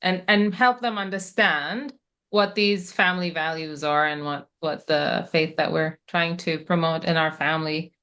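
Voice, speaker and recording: Soft-spoken, thoughtful woman, Persian native. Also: deep voice, steady tone, clear, resonant tone. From Middle East. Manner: thoughtful, hesitant, many pauses and restarts. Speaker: guest, author and interpreter. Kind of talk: relaxed conversational interview.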